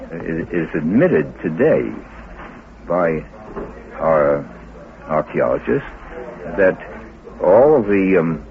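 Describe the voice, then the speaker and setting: Halting, very deep-voiced male scientist, muffled recording. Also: gravelly. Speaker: scientist interviewee. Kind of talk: unscripted expert interview. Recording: phone-like old recording, chatter behind.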